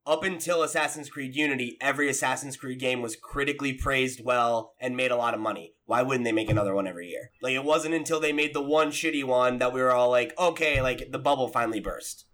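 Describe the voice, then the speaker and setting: A man's voice: mid-range voice, fast, hardly any pauses, fairly bright tone. A man hosting a podcast, reasoning aloud in casual, informal discussion. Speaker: podcast host.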